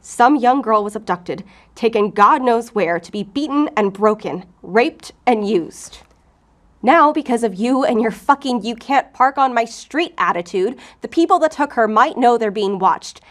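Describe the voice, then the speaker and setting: A woman's voice: fairly high pitch, animated, unhurried. A woman playing a curt, defensive, foul-mouthed FBI agent in an audio drama. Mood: angry, accusing. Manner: curt, profane, irritable. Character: volatile, defensive, obsessive female FBI agent.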